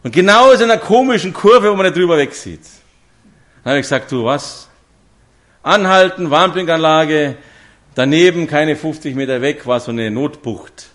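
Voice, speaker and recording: A man's voice: fairly high pitch, expressive, normal speed, a bit bright. A man, preaching a sermon to a congregation and telling stories with direct questions to his listeners. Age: 50s.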